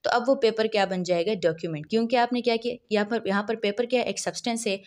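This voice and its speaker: A woman giving a recorded law lecture, asking questions and answering them herself, reading legal text slowly before explaining it. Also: fairly high pitch. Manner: asks and answers her own questions.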